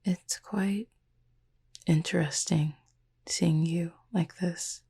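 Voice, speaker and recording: Breathy, gravelly, whispering cupid played by a woman. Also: lowish voice, fairly even intonation, full character voice. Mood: tender, reflective. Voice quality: breathy, husky half-whisper. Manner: measured, formal, gently teasing. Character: calm, proud, softly caring cupid roommate lulling someone to sleep. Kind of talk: whispered roleplay sleep-aid audio.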